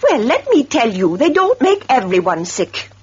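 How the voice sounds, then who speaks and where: A woman playing a sharp-tongued, defiant farmer's wife with an English accent in a radio drama. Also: fairly high pitch, wildly swooping pitch, moderate pace, husky, exaggerated voice. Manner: sharp-tongued, back-chatting. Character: defiant, flighty farmer's wife and former barmaid. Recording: muffled old radio recording.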